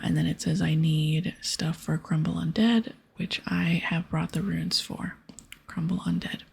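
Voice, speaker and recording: A woman doing a whispered ASMR game playthrough, casually soft-spoken and breathy as she reads aloud and comments. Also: lowish voice. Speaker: ASMR gaming streamer. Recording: soft music behind. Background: soft music.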